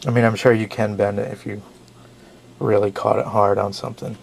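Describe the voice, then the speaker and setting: A man's voice: lowish voice, little pitch movement, a little fast, vocal fry. A man, a little sick and losing his voice, giving a rambling casual knife review with frequent self-corrections.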